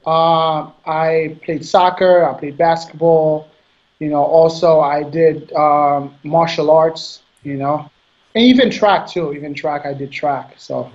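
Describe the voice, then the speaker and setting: Man, 30s, from Haiti; soft-spoken, halting interview guest, a bit high, lo-fi sound. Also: fairly even intonation. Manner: soft-spoken, hesitant, repeats words. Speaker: guest bodybuilder. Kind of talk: casual interview answers.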